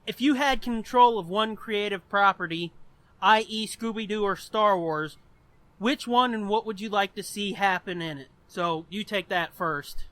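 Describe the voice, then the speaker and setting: A man's voice: high-pitched, unhurried, bright, forceful tone, no room sound, rough recording. A man co-hosting a podcast in casual pop-culture chat, with a blunt, informal, sweary way of talking. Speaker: podcast co-host.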